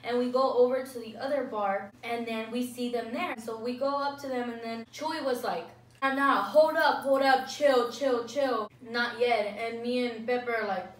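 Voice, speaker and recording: Woman, young adult, storytime vlogger, rambling, fills with "like", slightly high voice, distant camera mic. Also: fairly even intonation, moderate pace. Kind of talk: casual storytime. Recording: echoey room, voice off-mic.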